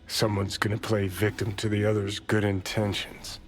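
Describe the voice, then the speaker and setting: An actor playing a jaded, foul-mouthed rebel ex-soldier in a video game, talking bluntly and sardonically. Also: male voice, lowish voice, flat delivery, normal speed, lightly acted. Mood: brooding, cynical. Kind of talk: cinematic video game acting.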